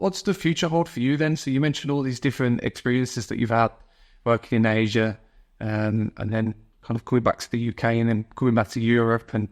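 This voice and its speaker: A man hosting a conversational interview, putting long, meandering, tentatively phrased questions to his guest. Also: medium pitch. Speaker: podcast interviewer, host.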